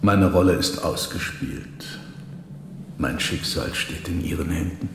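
Captive conjurer, radio drama, resigned, candid, deferential, reverberant room, faint noise. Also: male voice, deep voice, measured pace, gravelly. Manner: formal, deferential. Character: defeated male conjurer prisoner, candid and resigned. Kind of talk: German radio drama acting. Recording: echoey hall, audible background noise.

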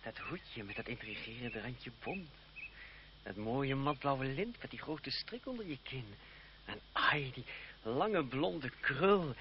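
A man's voice: mid-range voice, animated, takes it slowly. An actor playing a young French nobleman in a vintage Dutch radio play, mocking and eloquent, secretly smitten and jealous. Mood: teasing, sardonic. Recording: dull, noisy old radio-play recording.

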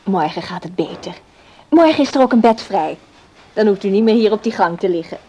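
An actress playing a kindly, reassuring hospital nurse in a radio play, coaxing and chatty in manner. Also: female voice, medium pitch, expressive, brisk, warm tone, husky. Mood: caring, brisk. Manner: coaxing, solicitous.

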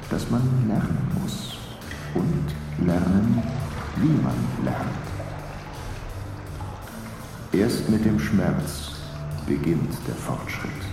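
An actor playing a solemn male motivational voice in a radio play, delivering measured, insinuating aphorisms about discipline. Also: sits low, creaky, full character voice. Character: solemn motivational voice preaching pain and progress. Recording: long cavernous reverb, music behind.

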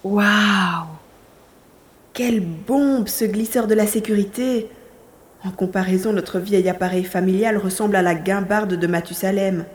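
A woman playing a determined, curious young hero in a science fiction audio drama, expressive and thinking aloud. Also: mid-range voice, measured pace, natural voice. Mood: impressed, wry.

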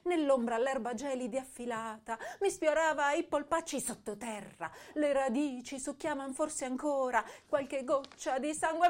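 An actress playing a tender, wounded young woman poet, reciting verse with hushed pauses and emotional swells. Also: high-pitched. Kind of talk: dramatic poetry recitation.

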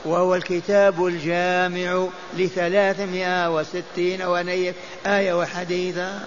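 A man's voice: high voice, a little hiss or hum. An older man giving a mosque lesson on hadith, chanting and repeating texts so listeners memorize them. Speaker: mosque teacher. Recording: dull lo-fi lecture recording.

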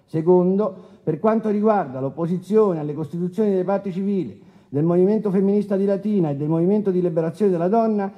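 An actor playing a formal, testy, officious presiding judge in a courtroom radio drama. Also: male voice, high-pitched, dull audio, lightly acted. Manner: formal and officious, with halting hesitations.

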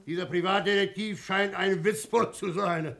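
An actor playing a gruff, hearty, grumbling German sea captain in a 1960 radio play. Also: male voice, high voice, fairly even intonation, normal speed, a bit bright. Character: blustering, jovial German ship's captain, middle-aged or older.

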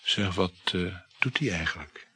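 A hesitant male lover in a radio play, insecure and quietly jealous. His voice is deep and very husky, with an understated, halting way of feeling out each line. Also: soft background music, heavily acted. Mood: defensive. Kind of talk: Dutch radio play acting.

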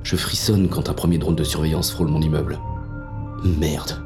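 A man's voice: rumbling low, fairly even intonation, moderate pace, music in the background. An actor playing a crude, confused man on the run, narrating in the first person with colloquial dialogue in an audio drama. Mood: panicked. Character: confused hunted man, crude and lustful, narrating his escape.

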